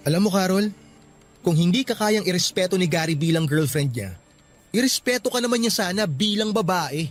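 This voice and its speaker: An actor with a Filipino accent playing a caring, protective young office worker in a radio drama, speaking earnestly and emphatically. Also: male voice, high-pitched, expressive, fairly quick, soft background music. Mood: indignant, protective.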